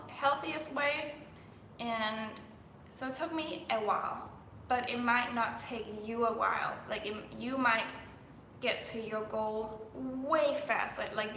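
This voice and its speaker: Young danish fitness girl rambling live, lots of likes, bright voice, faint hiss in the room. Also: a little high, reverberant, poor audio. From Denmark. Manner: chatty, filler-heavy, restarts often. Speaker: fitness coach. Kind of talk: live Q&A stream.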